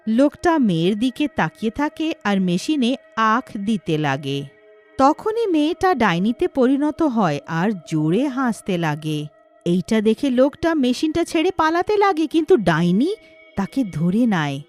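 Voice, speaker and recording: A woman's voice: a little high, very animated, a little slow, soft background music. A woman narrating a scripted moral horror tale as a storyteller.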